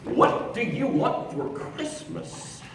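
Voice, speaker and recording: An actor playing a wry, protective piano-player sidekick in a colloquial 1940s-style stage show. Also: male voice, very high-pitched, sing-song, slow, over a noisy crowd, full character voice. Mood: amused, knowing. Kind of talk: live stage radio play, 1940s film parody. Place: theatre stage, live performance. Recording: very echoey, distant, noisy stage recording.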